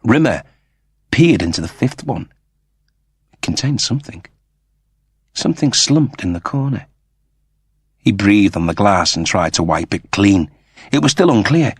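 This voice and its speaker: A man's voice: lowish voice, sing-song, unhurried, long pauses, breathy edge, no room sound, studio quality. A man narrating scripted science fiction as a dramatic audiobook reader.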